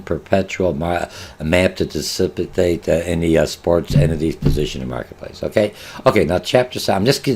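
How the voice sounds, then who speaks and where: A man's voice: deep voice, a little mellow. An older man whose first language is Polish, giving an online college marketing lecture over slides, rambling with many filler sounds, restarts and rhetorical questions. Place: home office, indoors.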